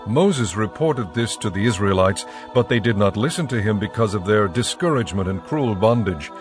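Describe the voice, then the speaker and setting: A man narrating scripture from a script in a measured, formal storytelling manner. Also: lowish voice, expressive, music in the background.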